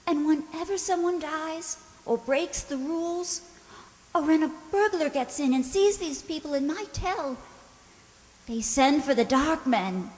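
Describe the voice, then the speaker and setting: A woman playing a frightened, naive young maid in a radio drama, speaking gently and hesitantly. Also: sits high, animated, measured pace, big-room sound, low-quality recording. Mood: fearful. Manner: hesitant, timid, repeats words.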